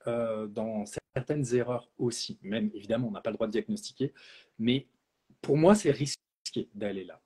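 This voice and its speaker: Man, naturopathy trainer, warm dark tone, slightly raspy, blunt opinions, hesitant fillers. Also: lowish voice, normal speed. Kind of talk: live Q&A discussion.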